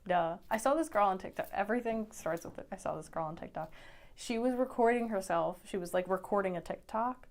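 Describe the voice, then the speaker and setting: A woman's voice: medium pitch, a little fast. Young woman chatting, full of "like". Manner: relaxed, uses "like" a lot, laughs easily. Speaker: podcast co-host. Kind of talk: casual chat.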